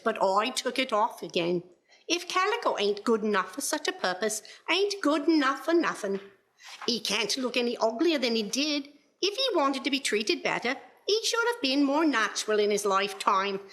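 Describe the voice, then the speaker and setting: A woman's voice: on the high side, fairly bright tone. A woman playing a callous, scornful thieving Victorian charwoman in a theatrical radio play. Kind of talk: stage radio play acting.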